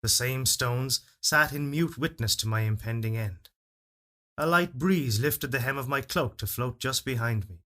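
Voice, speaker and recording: Male story narrator, mid-pitched, bright clear-cut tone, expressive, solemn, dramatic literary reading. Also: normal speed. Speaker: story reader. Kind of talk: dramatic literary narration of a first-person fairytale.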